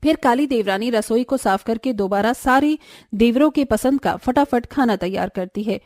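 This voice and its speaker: A woman's voice: a little high, moderate pace, darkish tone, dry recording, clean studio sound. A woman reading scripted narration for a cartoon family story.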